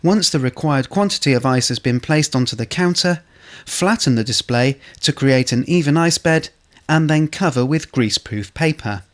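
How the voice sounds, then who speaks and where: A man's voice: a little high, animated. Man narrating a corporate training film. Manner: measured, instructional delivery. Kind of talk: scripted instructional narration.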